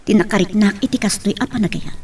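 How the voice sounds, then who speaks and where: A woman's voice: mid-range voice, fast, a little mellow, slightly rough, recorded from a distance, natural voice. A woman playing a sweet, troubled young woman in love, tender and affectionate, in an Ilocano radio drama.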